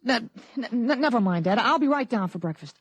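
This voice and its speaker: A woman playing a polite, secretive thirteen-year-old boy in 1950s radio drama style, hesitant and guarded, with a slightly husky voice. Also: a little high, animated, a little fast, muffled recording, slight background noise, lightly acted.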